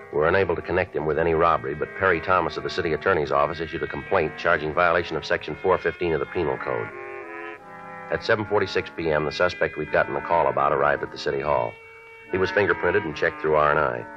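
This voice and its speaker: Very deep, deadpan radio police detective. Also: male voice. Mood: deadpan narration. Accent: American. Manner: deadpan, terse. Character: clipped, businesslike male police detective, coolly relentless. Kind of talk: 1950s radio drama acting. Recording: dull vintage radio recording.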